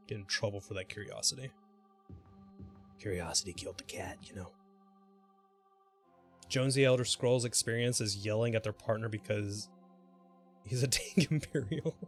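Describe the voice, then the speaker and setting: A man livestreaming a video game, chatting casually and thinking aloud in a halting, mildly sweary way. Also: medium pitch, a bit bright. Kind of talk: casual gameplay commentary and rambling chat. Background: music.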